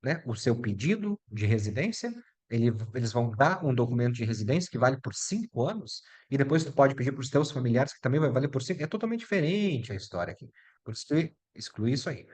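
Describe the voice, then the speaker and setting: Brazilian man, middle-aged, mid pitch; chatty, blunt lawyer explaining rules to viewers. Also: sing-song, fast talker, darkish tone, husky. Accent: Brazilian Portuguese. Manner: chatty and direct, addresses viewer as "tu" and "meu filho". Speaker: immigration lawyer. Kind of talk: casual explainer video.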